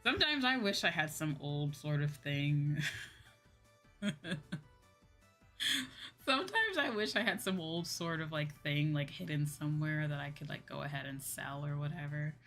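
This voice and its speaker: Bright-voiced young woman streamer. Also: low voice, expressive, moderate pace, long pauses. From United States. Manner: slangy, chatty, exclamatory. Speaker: VTuber livestreamer. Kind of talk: casual livestream chat. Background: background music.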